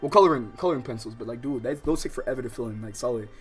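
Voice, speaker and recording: A man's voice: mid-range voice, racing, over music. A man livestreaming a visual novel, switching between casual, slangy, exclamatory reactions and reading the game text aloud. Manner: animated, loud exclamatory outbursts. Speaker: streamer playing a visual novel.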